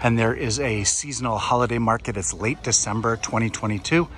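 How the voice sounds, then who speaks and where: A man narrating a relaxed walking tour of an outdoor market, speaking calmly and sparingly as a travel vlogger. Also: medium pitch. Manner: calm, unhurried, sparse commentary. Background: crowd chatter.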